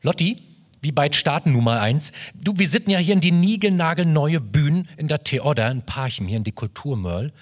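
Husky, lively man hosting in Low German. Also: moderate pace. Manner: lively, teasing questions. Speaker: radio host. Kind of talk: live radio interview.

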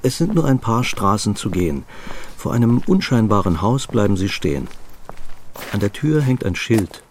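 A man's voice: fairly low pitch, big pitch swings, normal speed, a little hiss or hum. A man reading scripted story narration for a German radio play.

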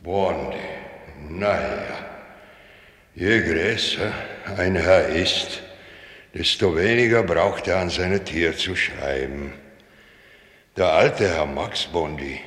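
Slow, low-voiced blunt old sea captain. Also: male voice, a bit bright, in a large hall. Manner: muttering, blunt, peppered with English sailor phrases. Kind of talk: German radio drama acting.

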